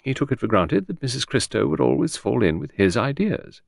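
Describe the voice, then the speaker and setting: Audiobook role: brisk, scornful young woman secretary, performed by a man, higher lilting delivery, exact and self-possessed. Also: very animated, dry recording, clean studio sound. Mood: cool contempt. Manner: crisp, precise, prompt, scornful. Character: cool, brusque, faintly scornful young female doctor's secretary. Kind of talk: audiobook character reading.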